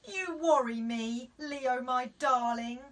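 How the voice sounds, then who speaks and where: Lady doing a stern worried mama lion from a picture book, bright and forceful, bit far from the mic. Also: high-pitched, slow. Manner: stern, emphatic. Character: stern, worried mother lioness laying down the law.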